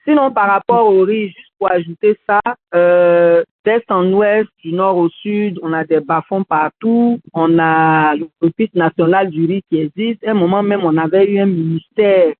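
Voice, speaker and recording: A woman with an Ivorian French accent hosting a civic radio show over a video call, speaking in an earnest, critical, measured way. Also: lowish voice, no echo. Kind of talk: commentary and answering callers. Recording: dry, tinny video-call audio.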